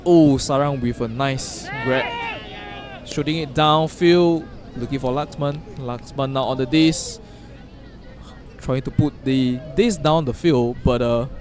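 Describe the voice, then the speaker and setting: A man doing live play-by-play commentary at an outdoor field, given to sudden loud exclamations. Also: medium pitch. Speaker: sports commentator.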